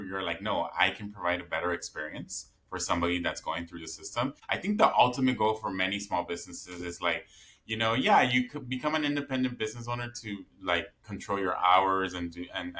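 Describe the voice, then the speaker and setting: A man's voice: lowish voice, lively intonation, brisk, sharp tone. A man hosting a business podcast, chatty and rambling, telling stories with many fillers. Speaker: podcast host. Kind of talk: conversational interview.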